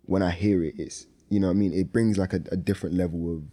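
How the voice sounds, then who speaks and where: A young man with a low-pitched voice talks casually as a music artist in an interview. His first language is Lingala, and he speaks informally, often tagging phrases with a check that he is understood. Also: fairly even intonation, fast, warm tone. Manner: informal, repeats tag questions like "you know what I mean". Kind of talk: casual chat.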